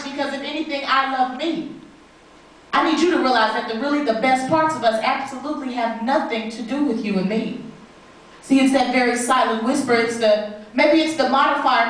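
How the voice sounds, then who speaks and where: A woman performing spoken word poetry live, with an emphatic, dramatic, rhythmic delivery. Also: a little high. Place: live stage performance in an echoey room. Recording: very echoey room, distant mic.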